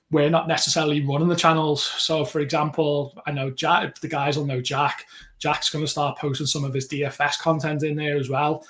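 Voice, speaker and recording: A man with a Liverpool accent, co-hosting a podcast in relaxed, rambling chat. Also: fairly high pitch. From England. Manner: chatty, rambling with frequent restarts. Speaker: podcast co-host.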